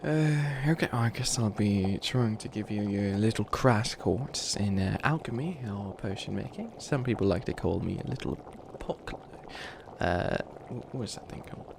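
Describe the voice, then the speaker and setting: A man's voice: on the low side, expressive, moderate pace. A man voicing a flustered, youthful bunny witch who wants to be evil, stammering and rambling defensively in a comedic ASMR roleplay. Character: flustered 853-year-old youthful bunny witch, harmlessly wannabe evil. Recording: clearly noisy ambience behind voice.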